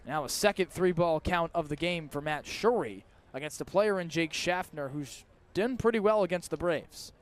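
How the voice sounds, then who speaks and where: Guy doing baseball play by play on radio, kinda high voice, clipped descriptive calls, out at the ballpark. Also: normal speed, few pauses, slight background noise. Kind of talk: live radio sports commentary. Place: outdoors at a ballpark.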